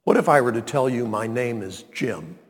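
A man, preaching a sermon and reading scripture, deliberate and emphatic. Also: medium pitch, lively intonation. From North Carolina, US. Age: 90s. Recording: long reverb of a large hall.

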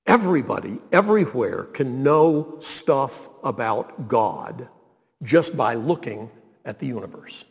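A man, preaching a sermon and reading scripture, deliberate and emphatic. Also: mid-range voice, very animated, gravelly. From North Carolina, US. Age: 90s. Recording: long reverb of a large hall.

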